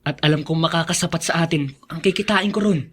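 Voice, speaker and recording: An actor playing a devoted, determined young son in a Filipino radio drama, speaking earnestly and respectfully. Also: male voice, a little high, quick pace, fairly bright tone. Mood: earnest, resolute. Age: young adult.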